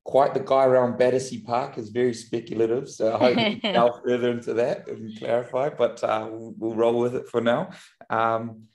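A man, telling his story as a podcast guest in a candid, reflective way with many pauses and restarts. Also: mid-range voice, a little mellow. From New Zealand. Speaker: podcast guest, brand founder. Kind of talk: casual interview storytelling.

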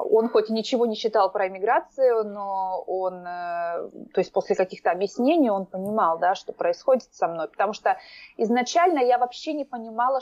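Woman guest, mid-pitched, halting delivery with lots of fillers, dry slightly dull audio. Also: darkish tone, no echo. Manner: hesitant, many fillers and restarts. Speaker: podcast guest. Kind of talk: casual interview chat. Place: lives in Norway.

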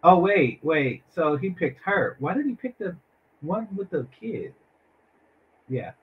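Man, reviewer, sarcastic commentary, fairly high voice, slightly muffled audio. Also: very animated, measured pace. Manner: mocking, mimics others. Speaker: reviewer reacting to a dating show.

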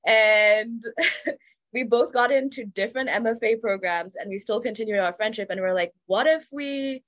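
A woman's voice: on the high side, normal speed, no room sound. A woman from Kenya speaking earnestly and reflectively in a casual panel discussion, with frequent fillers.

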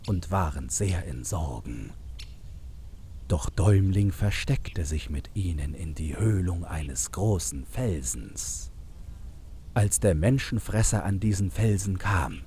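A man narrating a fairy tale from a script in a measured, deliberate storytelling manner. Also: low voice, darkish tone, slight background noise.

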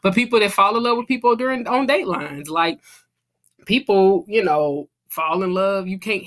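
A woman's voice: lowish voice, fairly bright tone. A woman in her thirties hosting a podcast, chatting casually with lots of "you know" and "like". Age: 30s. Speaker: podcast host.

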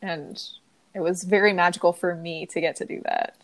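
A woman's voice: lowish voice, steady tone, normal speed. A young woman co-hosting a podcast, telling a story in a chatty, filler-heavy way and sniffly from crying. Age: young adult. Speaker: podcast co-host. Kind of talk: casual chat, storytelling.

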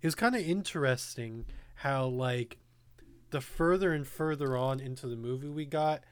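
A man co-hosting a podcast, teasing his friends with deadpan jokes in casual chat. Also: medium pitch, moderate pace, smooth. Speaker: podcast co-host.

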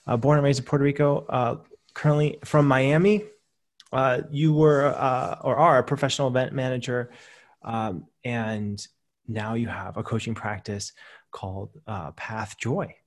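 A man hosting a coaching podcast, introducing his guest with many fillers and answering her with affirming remarks. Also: mid-range voice. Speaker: podcast host, coach trainer.

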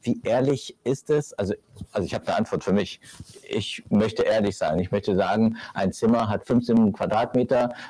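A man's voice: fairly low pitch, normal speed, warm tone, husky, rough recording. A man hosting a casual panel talk, asking probing questions. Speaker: host.

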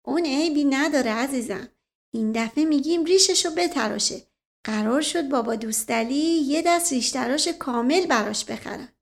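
A woman's voice: high-pitched, vocal fry. A woman voicing a teasing, indulgent prince, an urbane uncle with a coaxing manner, in a storybook reading.

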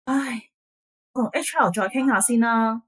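A woman's voice: a little high, unhurried, a bit bright, husky. A woman acting a dismissive, weary office boss in a radio drama, with a Hong Kong Cantonese accent. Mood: brusque, placating.

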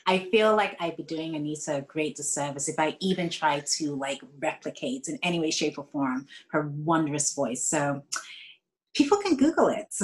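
Low-voiced woman with mixed Ghanaian-British-American accent. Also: animated, normal speed, poor audio. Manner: chatty, with frequent ums. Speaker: podcast guest. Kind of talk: casual podcast interview.

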